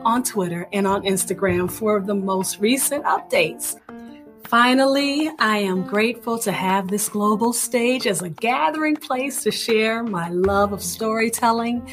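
A woman hosting a radio show, introducing it in an emphatic, enthusiastic way. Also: mid-range voice. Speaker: host. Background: music behind the voice.

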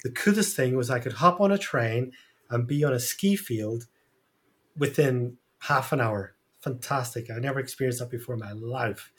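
A man with a medium-pitched voice co-hosts a casual interview. He is chatty and jovial, speaking informally as he asks questions and shares his own remarks. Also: moderate pace, a bit bright. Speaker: interviewer, co-host. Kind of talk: casual chat.

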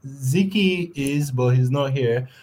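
A man, a studio co-founder, chatting in a dry, measured and amused way on a podcast. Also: medium pitch, a little mellow. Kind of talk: casual podcast chat.

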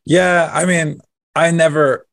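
A man's voice: a little high, fairly even intonation, takes it slowly, vocal fry, no room sound, clean studio sound. A man chatting casually and jokingly as a podcast co-host. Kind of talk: casual chat.

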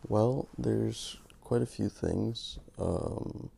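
A man hosting his podcast in a casual, rambling monologue, gravelly from just waking up, joking at his own expense. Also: on the low side, drawn-out words, warm tone. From Canada. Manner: rambling, self-mocking, many restarts. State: just woke up. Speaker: podcast host.

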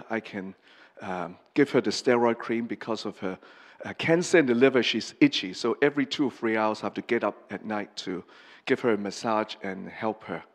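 Earnest halting pastor in a reverberant hall. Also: male voice, mid-range voice. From Hong Kong. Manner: earnest and halting, with many pauses and restarts. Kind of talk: personal testimony sermon. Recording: long reverb of a large hall.

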